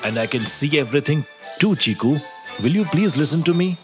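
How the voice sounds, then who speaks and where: A voice actor playing a wise old talking walnut tree in a cartoon story, calm and lecturing. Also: mid-range voice, fairly quick, natural voice. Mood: gentle. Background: music.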